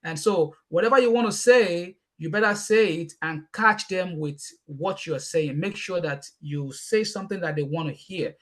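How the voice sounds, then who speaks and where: A Nigerian-accented man, Yoruba by first language, answering questions on a panel. His voice sits a little high, and he talks fast and emphatically, repeating words when he gets excited. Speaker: guest speaker.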